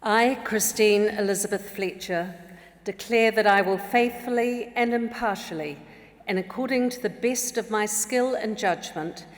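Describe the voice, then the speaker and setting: A woman councillor reciting her oath of office clearly and deliberately in a large council chamber. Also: medium pitch. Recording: long hall reverb.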